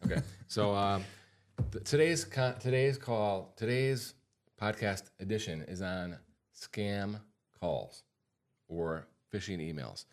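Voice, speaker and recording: A man with a low-pitched American voice hosts a casual podcast. He speaks like an advisor chatting with listeners, repeating key points for emphasis in an informal way. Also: takes it slowly. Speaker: podcast host and financial advisor.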